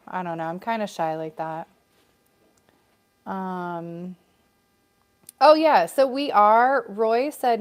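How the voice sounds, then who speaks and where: An American woman co-hosting a casual live stream, chatting upbeat and reading out viewer comments. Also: medium pitch.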